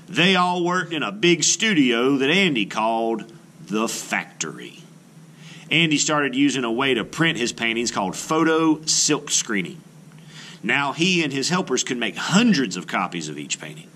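A man reads aloud to children like an art teacher, with widely swinging intonation. His voice is bright and forward, and he explains things expressively. Faint room noise sits behind him. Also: mid-range voice. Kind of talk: read-aloud of a children's book with teaching asides. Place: indoors, book filmed on a table.

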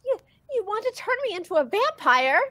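Woman as an overwrought high-pitched teenage girl duck. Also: very animated, brisk, a bit bright, full character voice. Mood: frightened. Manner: overwrought, melodramatic.

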